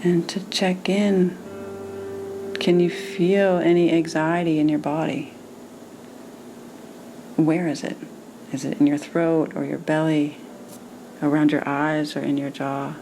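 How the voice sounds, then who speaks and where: Low, mellow woman guiding a meditation. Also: level tone, soft background music, low-quality recording. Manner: soothing, unhurried phrasing with gentle pauses. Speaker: meditation teacher and psychotherapist. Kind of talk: guided meditation.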